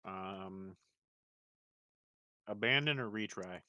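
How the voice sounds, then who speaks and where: A man's voice: deep voice, takes it slowly, pushed and bright, dry recording, dull audio. A man casually reacting and chatting during a gameplay stream. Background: game music.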